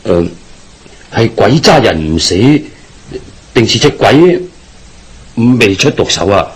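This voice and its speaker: Haunted businessman, Hong Kong Cantonese accent, lowish husky voice, anxious and deferential, hesitant, radio drama. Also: takes it slowly, heavily acted, rough recording. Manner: hesitant, pleading, animated.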